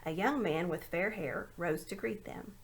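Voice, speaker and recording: A woman reading a children's story aloud in an expressive narrating style. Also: lowish voice, unhurried, a little hiss or hum. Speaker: amateur audiobook reader.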